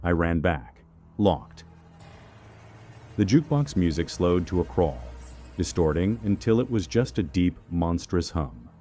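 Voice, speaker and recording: A man with a very low voice narrates a scary first-person tale. He delivers it in measured, clipped phrases that hold the suspense. Soft music plays underneath. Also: sing-song. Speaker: horror story narrator.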